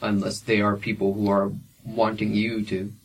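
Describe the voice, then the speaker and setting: A man's voice: lowish voice, completely flat delivery, normal speed, breathy edge, recorded from a distance, rough recording. A young man and independent musician answering interview questions frankly, with plenty of fillers and restarts.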